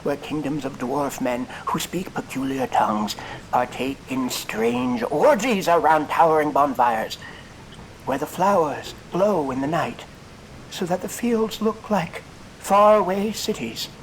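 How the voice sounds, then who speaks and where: A man's voice: a little high, animated, a little slow, slight background noise, rough recording. A man playing a grandiose traveler who orates florid, theatrical tales to a crowd in an audio drama. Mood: grand, proclaiming. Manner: florid, theatrical, list-like oration. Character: grandiose male traveler orating marvels to crowd. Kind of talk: audio fiction acting.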